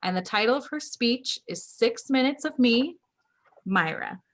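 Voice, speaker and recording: A woman's voice: on the high side, expressive, a little slow. Upbeat woman hosting an online meeting. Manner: upbeat, organized announcements. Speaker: toastmaster of the meeting. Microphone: video call.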